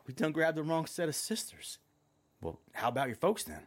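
A man playing a rough, greedy kidnapper who talks in crude rural slang. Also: on the high side, big pitch swings, moderate pace. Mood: menacing. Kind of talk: amateur audio drama acting. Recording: music under the voice.

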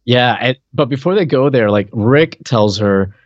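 A dry, slightly muffled lo-fi recording of a man co-hosting a podcast. He chats casually about a TV comedy with an amused manner, explaining things in a mid-pitched voice. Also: animated, a little slow, no echo. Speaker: podcast co-host. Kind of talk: casual chat, commenting on a TV comedy.

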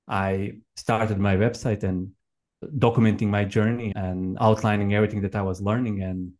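Low-pitched Croatian man, halting and reflective. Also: level tone, darkish tone. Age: 30s. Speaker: podcast guest, permaculture farmer and educator. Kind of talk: conversational personal account.

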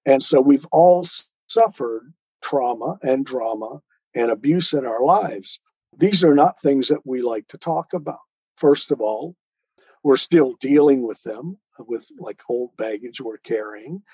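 A man speaking as an interviewed author in an earnest, measured, deliberate and reflective way. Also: medium pitch, darkish tone, husky, no echo, muffled recording.

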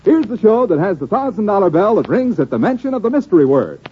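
A man's voice: sits high, very animated, fast talker. An American man announcing a vintage radio show from a script in a grand showman's delivery. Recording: dull old radio broadcast recording. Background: faint noise, some audience sound.

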